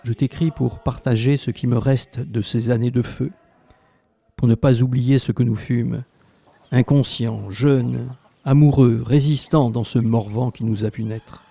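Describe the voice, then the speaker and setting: A man playing an elderly, tender former resistance fighter who narrates his written memories in a slow, wistful, literary way. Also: medium pitch, fairly even intonation, vocal fry, mellow, no room sound.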